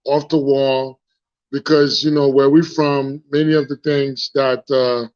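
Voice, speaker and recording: A man's voice: medium pitch, flat delivery, measured pace, darkish tone, smooth. Haitian man on a lo-fi phone line. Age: 40s. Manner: frank and reflective, repeats words while searching for them. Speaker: guest, founder. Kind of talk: casual live interview. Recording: lo-fi phone audio.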